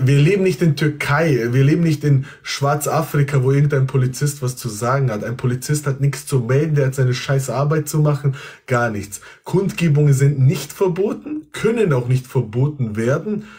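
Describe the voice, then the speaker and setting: Blunt, husky-voiced man hosting a livestream. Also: medium pitch, moderate pace, few pauses, dark tone. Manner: blunt, cutting, swears at opponents. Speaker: livestream host debating callers. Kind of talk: heated livestream debate. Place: indoor room at home. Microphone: phone front camera at arm's length.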